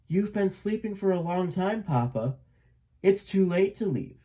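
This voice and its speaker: Casting: man to play a sweet, brave young duckling son, lifted pitch, earnest and comforting storybook manner. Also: lively intonation, a little slow, dark tone. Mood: reassuring. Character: gentle young boy duckling, brave and reassuring.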